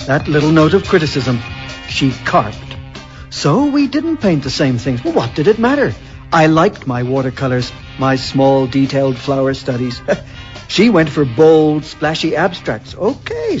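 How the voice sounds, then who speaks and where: A man playing a patient, quietly resentful suburban husband, speaking and narrating hesitantly in a folksy radio drama style. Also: fairly high pitch, sing-song, normal speed, faint music behind. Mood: wry, resentful. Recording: muffled radio drama recording.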